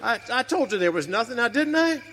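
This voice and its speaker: A man plays a dismissive, folksy frontier cowhand with a for a radio western. The voice is high and forceful, lively in pitch, with hall reverb around it. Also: brisk, exaggerated voice. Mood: scoffing. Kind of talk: live western radio drama acting. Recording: reverberant hall, faint background noise.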